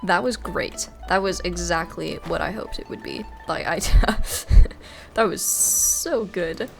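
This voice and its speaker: A woman's voice: fairly low pitch, takes it slowly, vocal fry. A woman giving casual commentary as she plays through a video game for her channel. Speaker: gaming video creator. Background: game music.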